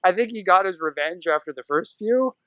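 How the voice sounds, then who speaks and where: A man's voice: high voice, lively intonation, a little fast, sharp tone, dull audio. A man speaking casually as a podcast guest, telling stories in a rambling, jokey way. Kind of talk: casual conversational interview.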